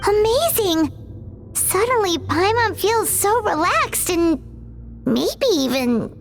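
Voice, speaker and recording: A woman voicing a small, excitable, innocent fairy companion in a video game. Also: extremely high pitch, slow pace, creaky, music in the background, full character voice. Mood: relieved. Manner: refers to self in third person, exclamatory.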